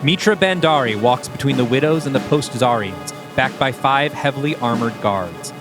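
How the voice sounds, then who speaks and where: A man narrates a scripted audio play, setting scenes and action in present tense. His voice sits at a medium pitch. Music plays clearly underneath him. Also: animated, moderate pace. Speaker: narrator reading stage directions.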